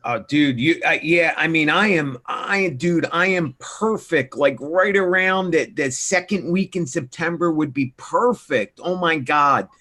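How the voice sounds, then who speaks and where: A man co-hosting a casual live show, excitable and stammering, quick to laugh. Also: on the high side. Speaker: livestream co-host.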